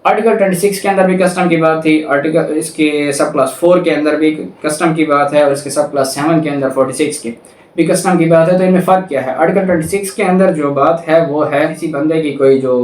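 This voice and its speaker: A man's voice: on the high side, fairly even intonation, a little fast, darkish tone. A man with a Pakistani accent giving a methodical, repetitive explanatory lecture, reading clauses aloud and explaining them step by step. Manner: methodical, repetitive step-by-step explaining, switching between Urdu and English. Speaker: law lecturer. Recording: echoey room, distant microphone.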